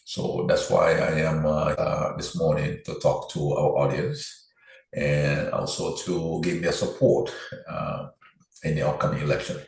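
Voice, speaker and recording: A man's voice: rumbling low, flat delivery, measured pace, creaky. A man from Liberia, a political candidate laying out his plans in an earnest, methodical interview. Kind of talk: interview answers, campaign pitch. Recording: very echoey room.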